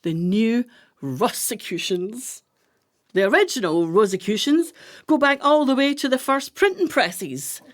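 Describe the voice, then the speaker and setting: An actress playing a brisk-minded, commanding woman plotter in a radio play, with a deliberate, declamatory delivery. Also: on the high side, animated. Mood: brisk, assured.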